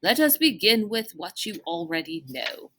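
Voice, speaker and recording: A woman reading aloud as a formal, kindly older gentleman mentor who speaks in deliberate, old-fashioned phrases. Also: high voice, big pitch swings, full character voice. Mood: earnest explaining.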